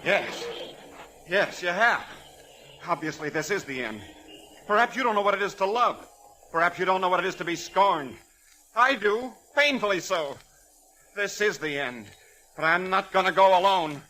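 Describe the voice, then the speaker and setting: An actor playing a scorned, desperate killer with anguished, theatrical delivery in old radio melodrama, on a noisy recording. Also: male voice, high voice, expressive, normal speed, long pauses, bright, forceful tone. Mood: bitter, desperate. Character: scorned, desperate male killer, crazed by jealous love. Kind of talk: 1940s radio melodrama acting.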